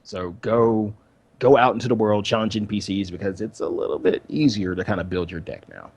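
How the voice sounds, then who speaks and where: A man's voice: fairly low pitch, fairly quick, darkish tone, breathy edge. A man co-hosting a gaming podcast, chatting casually and often repeating his phrases as he goes. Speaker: podcast co-host.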